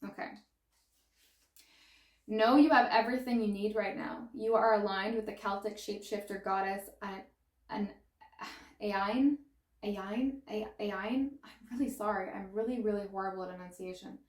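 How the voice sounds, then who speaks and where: A woman gives a tarot reading with a mid-pitched voice, bubbling over with excitement and exclamations. Her voice is wearing out and sounds strained in places. The room around her gives the sound a noticeable echo. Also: moderate pace. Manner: excitable and gushing, exclaiming and laughing. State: losing her voice. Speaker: tarot reader. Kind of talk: pick-a-pile tarot reading.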